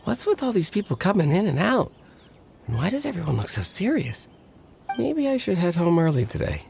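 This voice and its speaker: Puzzled townsman, swooping pitch. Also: wildly swooping pitch, a little fast, creaky, noisy background, exaggerated voice. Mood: puzzled, uneasy. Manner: musing, uneasy. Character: puzzled, uneasy townsperson muttering to self. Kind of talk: video game voice acting.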